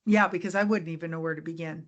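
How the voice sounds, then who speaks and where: A woman's voice: deep voice, steady tone, dull audio. Upbeat woman hosting a podcast. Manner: upbeat and encouraging, with quick asides. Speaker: podcast host. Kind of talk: casual podcast interview.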